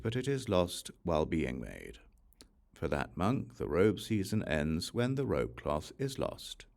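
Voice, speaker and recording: A man reading scripture aloud as an audiobook narrator, in a measured, formal manner. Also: sits low, vocal fry, darkish tone. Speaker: audiobook reader.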